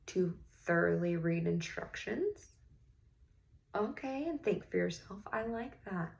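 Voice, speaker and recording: Slow-paced woman with a creaky voice, reading to children. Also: fairly low pitch, animated, low-quality recording. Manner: encouraging, teacherly, pausing and gasping theatrically. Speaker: read-aloud host, teacher-like reader. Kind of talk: children's picture book read-aloud with questions to the listeners.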